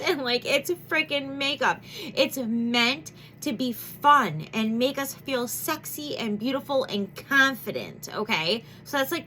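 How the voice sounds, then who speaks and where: Woman, 30s, beauty vlogger, nasal and stuffed-up, bubbly, rambling, bright voice, faint background hum. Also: on the high side. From Michigan. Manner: bubbly, gushing, rambling with many fillers. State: congested, nasal. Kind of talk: chatty makeup vlog intro.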